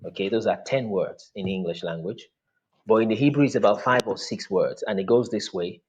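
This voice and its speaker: Brief: lowish, husky male guest, native Yoruba speaker, patient explainer, muffled call audio. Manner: measured, explains step by step with frequent okay and mm-hmm. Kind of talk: explanatory conversation.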